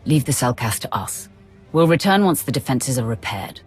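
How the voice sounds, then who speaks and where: A commanding dark-side warrior woman from a sci-fi game. She is curt and sure of herself, with music playing behind her. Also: extremely low pitch, sing-song, normal speed, husky, heavily acted. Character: dark-side female Sith warrior, curt and self-assured. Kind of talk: video game cutscene acting.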